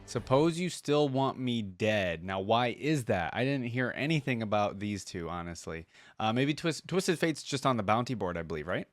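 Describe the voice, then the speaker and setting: Casting: male reaction host, mid-range lively voice, casual colloquial commentary, faint music behind. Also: mid-range voice, moderate pace, no room sound, clean studio sound. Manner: colloquial, exclaiming.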